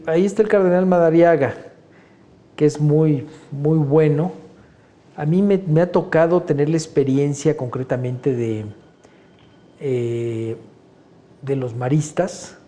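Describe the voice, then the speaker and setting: Very dark-voiced Mexican man in his 60s. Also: fairly high pitch, fairly even intonation, slow pace, long pauses. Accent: Mexican Spanish. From Honduras. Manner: conversational, opinionated, many fillers like "este". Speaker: guest painter. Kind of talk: interview answers.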